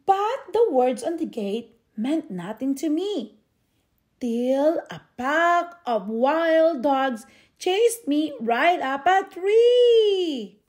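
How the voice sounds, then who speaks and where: Female storybook reader, high-pitched and unhurried, theatrical with stretched key words. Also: big pitch swings, darkish tone. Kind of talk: expressive read-aloud of a children's picture book.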